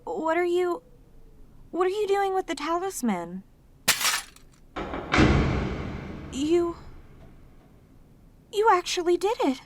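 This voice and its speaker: Woman voicing a slow-talking, devoted yandere demoness. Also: extremely high pitch, lively intonation, slight background noise. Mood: overjoyed, tearful. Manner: tender, halting, slow, intensely devoted. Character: sweet, devoted, clingy yandere demoness, long sealed away. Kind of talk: romantic audio roleplay, ASMR acting.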